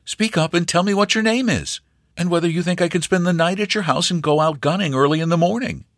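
A man's voice: sits high, fast, fairly bright tone, no echo, studio quality, voice pushed up. A man voicing a polite, friendly young male hunter who coaxes and persuades cheerfully. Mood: coaxing. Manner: cheerful, coaxing.

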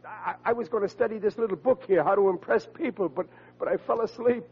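A man's voice: sits high, a little fast, creaky, breathy edge, exaggerated voice. An actor playing an anxious, bumbling working-class family man in old radio comedy, stammering and speaking in plain slangy words. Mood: desperate pleading. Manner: stammering, informal, slangy diction. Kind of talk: 1940s radio comedy acting. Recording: dull old radio recording.

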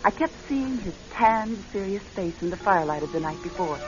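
A muffled classic radio recording carries an actress playing a reserved career woman. Her voice sits at a middle pitch. She is polite and guarded, whether talking with someone or telling her own story as narrator. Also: lively intonation, a little fast, over music, lightly acted. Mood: reflective narration. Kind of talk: classic radio drama acting and first-person narration. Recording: dull, lo-fi old radio recording.

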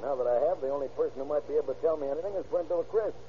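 Male actor as a commanding police captain, brisk 1940s radio delivery, phone-thin hissy old recording. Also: fairly high pitch, natural voice. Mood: puzzled. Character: tough determined police captain, adult man. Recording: tinny old radio broadcast with hiss.

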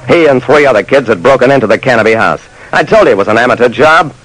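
Man playing wry male detective, clipped slangy narration, vintage radio drama, expressive mid-pitched voice. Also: quick pace, a little hiss or hum, lightly acted, rough recording. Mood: matter-of-fact. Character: dry, soft-hearted male detective telling his case.

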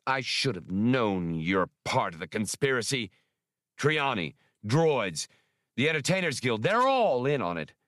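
A man's voice: medium pitch, sing-song, a little slow, fairly bright tone, no room sound, studio quality. A man doing a ranting paranoid executive. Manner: bellowing, ranting. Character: raging paranoid corporate boss man.

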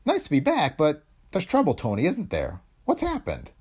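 A man's voice: on the high side, huge pitch swings, normal speed, darkish tone, breathy edge, voice pushed up, heavily acted. A man voicing a gaunt, grizzled camera expert in a space adventure story, anxious, practical and full of questions. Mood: anxious, questioning.

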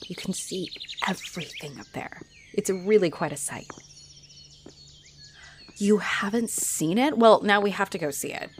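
A woman's voice: mid-range voice, lively intonation, measured pace, long pauses, lightly acted. A woman playing a friendly, teasing, flirty female halfling orc adventurer in a roleplay audio addressed to the listener. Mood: eager, friendly. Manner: teasing, chuckling, coy. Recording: noticeable noise behind the voice.

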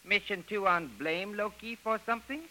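A man's voice: high voice, normal speed, bright, forceful tone, a little hiss or hum, rough recording. A man playing a deferential, evasive native guide in clipped broken English, in an old radio adventure. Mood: pleading, defensive. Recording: vintage radio recording.

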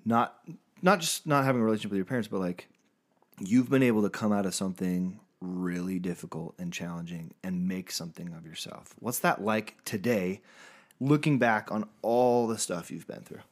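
A man's voice: fairly low pitch, moderate pace. A man co-hosting a podcast in a casual chat, speaking in an informal, slangy, enthusiastic way. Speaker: podcast co-host.

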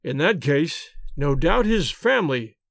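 A man reading an audiobook, voicing a calm male detective who explains his reasoning in a measured, precise, lecturing way. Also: on the high side, creaky, no room sound, studio quality, heavily acted. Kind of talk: audiobook character voice.

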